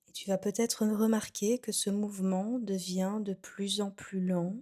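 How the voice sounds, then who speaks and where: A woman guiding a relaxation session as a yoga nidra instructor, soothing and unhurried, with phrases that trail off softly. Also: mid-range voice, flat delivery. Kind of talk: guided meditation, calm instruction.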